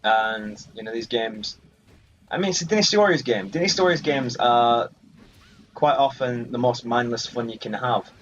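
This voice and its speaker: A man's voice: medium pitch, normal speed, fairly bright tone, a little hiss or hum. A man giving casual, rambling let's play commentary on a video game. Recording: muffled sound, game music behind. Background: video game music.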